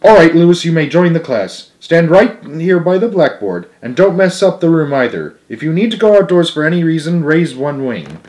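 A stern, brisk schoolteacher from a storybook, acted out by a young man. The voice is raised and emphatic, giving orders to a classroom. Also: a little high, big pitch swings, a little mellow, voice pushed up. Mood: amazed, bossy. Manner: emphatic, commanding classroom delivery. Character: stern, brisk female first-grade schoolteacher.

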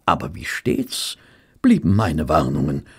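Ein Schauspieler spielt in einem Hörspiel einen treuen, skeptischen Gentleman, den Gefährten eines Detektivs, der bedacht und leicht zweifelnd spricht. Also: very deep voice, big pitch swings, gravelly, breathy edge, exaggerated voice. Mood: reflective narrating. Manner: measured, mildly doubtful. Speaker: actor and narrator in an audio drama. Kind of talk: German audio drama dialogue and narration.

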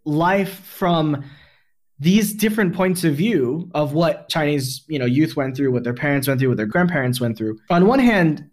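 A man with a slightly high voice hosts a podcast conversation. He talks in an explanatory way, laying out his thoughts at length. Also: animated, moderate pace. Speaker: podcast host.

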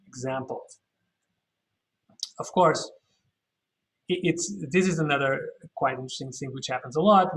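A man's voice: medium pitch, fairly bright tone, rough recording. A man guiding an informal virtual walking tour, thinking aloud and often correcting himself as he explains. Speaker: architectural tour guide.

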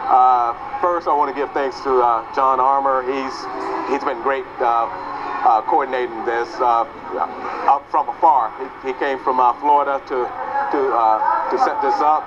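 A man's voice: mid-range voice, fairly even intonation. Hesitant man, dull noisy recording. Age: 40s. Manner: halting, hesitant. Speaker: class reunion attendee. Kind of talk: casual thank-you message. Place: indoor hotel hallway. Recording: dull lo-fi camcorder, noisy room.

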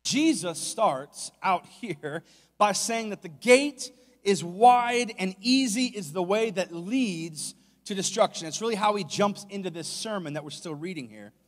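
A man in his forties with an American accent preaching a sermon emphatically into a handheld microphone, moving between quiet asides and loud stresses. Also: sits high, animated, unhurried. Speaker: pastor preaching. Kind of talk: sermon to a congregation. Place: large church stage, indoors. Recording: long hall reverb from stage PA.

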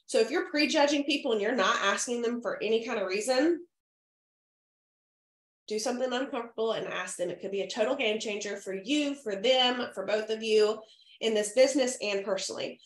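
A woman in her thirties, a little hoarse from a cold, coaching her sales team over a webcam in an upbeat way, often checking in with the listeners. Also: a little high, brisk. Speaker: direct sales team leader. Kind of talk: training talk to a sales team. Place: home room, indoors. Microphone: webcam on a video call. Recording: echoey room.

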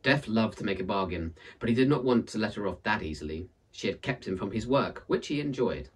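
A man in his thirties with a husky voice, reading and presenting a book as an expressive storyteller. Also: fairly low pitch, a little fast, recorded from a distance. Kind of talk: expressive read-aloud storytelling and book introduction. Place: indoors in front of a purple backdrop.

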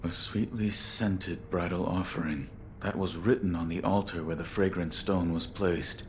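A stoic shinobi retainer, speaks in an extremely low voice. He is terse, grave and deferential in this video game drama, with clear ambience behind him. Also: fairly even intonation, measured pace, a little hiss or hum. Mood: grave, reflective. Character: stoic, loyal adult male shinobi retainer. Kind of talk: scripted video game dialogue.

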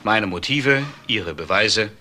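Cold, sarcastic husband for crime drama: low, sharp voice, controlled bitterness. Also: male voice, animated, takes it slowly, slight background noise, full character voice. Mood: guarded. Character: cold, bitter betrayed husband, an upper-class doctor. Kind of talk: crime radio drama acting.

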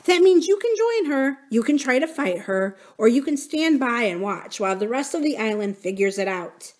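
A woman's voice: on the high side, a little mellow. Woman voicing a grave, foreboding old witch. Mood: grave warning. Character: stern, cryptic old witch woman giving grave warnings.

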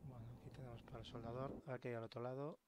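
A man's voice: medium pitch, steady tone, quick pace. A man with a Spain accent giving casual, sweary gameplay commentary as host. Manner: sweary, colloquial. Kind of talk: casual let's play commentary. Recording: noisy game ambience behind voice.

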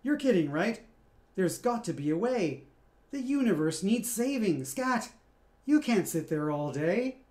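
An eager alien musician whose lines come out urgent and pleading, put on by a man reading a storybook. The voice is pitched high. It sounds in a roomy, echoing space. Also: very animated, unhurried, a little mellow. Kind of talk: picture book read aloud. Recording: roomy echoey room.

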